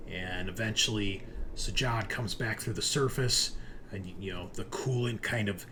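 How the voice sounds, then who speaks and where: A man's voice: on the low side, measured pace, sharp tone, a little hiss or hum. A man narrating a tabletop roleplaying game as game master, improvising informally and addressing the players as "you".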